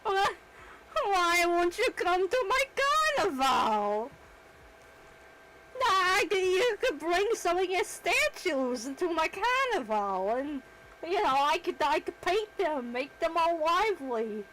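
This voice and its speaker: A woman's voice: very high voice, very animated, slow pace, a bit bright, a little hiss or hum, voice pushed up, exaggerated voice, poor audio. A woman putting on the voice of a goofy male carnival owner who talks like a mob boss, with a Boston accent and a brash, wheedling manner.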